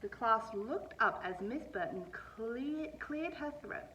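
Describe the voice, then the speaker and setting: A woman's voice: on the high side, lively intonation, slow, bright, forceful tone, recorded from a distance, dull audio. A woman teacher talking to young children and narrating a storybook read-aloud in an explanatory way.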